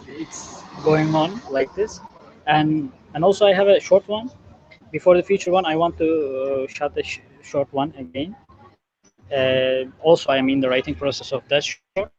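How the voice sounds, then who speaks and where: A man, a filmmaker from Turkey of roots, speaking slow, halting English on a panel, often pausing to find words. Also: fairly high pitch, fairly even intonation, a little mellow, dull audio, slight background noise. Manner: halting, searching for words, many "I mean"s. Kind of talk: panel discussion.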